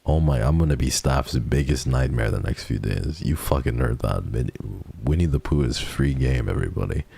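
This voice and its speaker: A drunk man with a very deep voice, a livestreamer chatting casually and swearing freely with a friend. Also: lively intonation, normal speed, vocal fry, mellow, slight background noise. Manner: profane, loose, drunken chat. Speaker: livestreamer, vtuber. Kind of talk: casual banter between friends.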